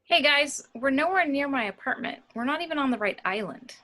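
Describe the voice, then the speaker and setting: Brief: woman as unfazed, wry female sidekick, high bright voice, casual home script read. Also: lively intonation, a little fast. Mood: puzzled, calling out. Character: wry, unfazed woman tagging along with agents. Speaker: amateur actor in a table read.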